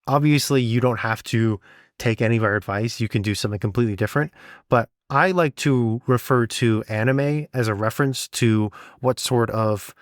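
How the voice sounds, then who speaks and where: A man's voice: mid-range voice, moderate pace, dry recording. Man explaining casually, dry close sound. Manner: informal, explanatory. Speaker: podcast host and audio drama sound designer. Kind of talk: casual podcast talk, explaining and advising.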